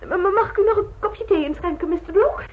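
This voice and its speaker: A woman's voice: very high-pitched, animated, fast, phone-quality sound. An actress playing a genteel eighteen-year-old society girl in an old radio play, prim and easily flustered. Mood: unsettled, wondering. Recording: old radio recording with clear noise.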